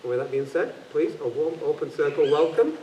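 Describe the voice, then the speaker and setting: Host, man, brisk and reassuring announcements; distant, roomy sound. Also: fairly high pitch, animated, lo-fi sound, heavy background noise. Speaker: host introducing the speaker. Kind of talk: announcements and introduction. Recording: echoey hall from far off.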